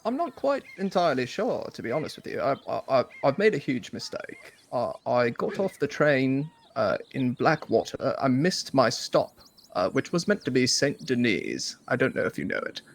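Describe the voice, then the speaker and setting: A man playing a polite, stranded gentleman traveller who stammers and hesitates, with many fillers and formal phrasing. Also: medium pitch, very animated, normal speed, vocal fry. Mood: polite, flustered. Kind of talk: role-play in a western game.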